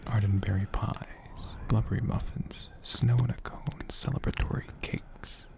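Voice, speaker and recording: A man speaking as an ASMR host in a soft, gentle, hushed whisper, taking his time. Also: fairly low pitch, flat delivery, measured pace, creaky.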